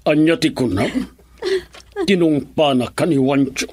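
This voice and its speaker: Man acting indignant, animated protective father. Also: fairly high pitch, unhurried. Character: angry, protective middle-aged father. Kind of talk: melodramatic radio drama acting.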